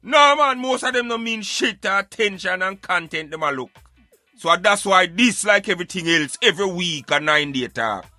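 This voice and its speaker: Bright, forceful Jamaican man. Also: high voice, animated, normal speed, hardly any pauses, no echo, soft background music. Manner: emphatic, preaching street-philosopher delivery. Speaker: radio show host and commentator. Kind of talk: opinionated social commentary in Patois.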